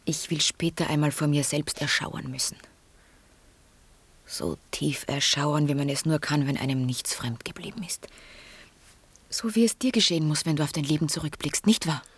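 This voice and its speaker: A woman's voice: deep voice, moderate pace, husky. An actress playing a proud, melancholy young woman in love, with intense theatrical delivery in a radio drama. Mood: solemn. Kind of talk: 1960s German radio drama acting.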